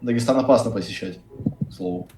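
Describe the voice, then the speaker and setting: An eighteen-year-old man from Russia, talking fast and bluntly with casual swearing on a video call. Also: mid-range voice, very animated, gravelly, crowd noise. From Saint Petersburg, Russia. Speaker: university economics student. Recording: echoey room, far from the mic.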